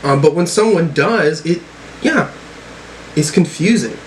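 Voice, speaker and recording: A man giving casual reaction commentary as a music review host, rambling and halting as he thinks aloud. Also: a little high, animated, a little hiss or hum, low-quality recording.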